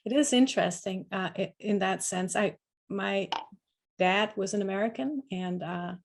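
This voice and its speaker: A woman's voice: medium pitch, normal speed, creaky. A woman from Denmark, speaking as a panelist in an online discussion, reflective and often restarting her sentences. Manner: reflective, searching for words with many restarts.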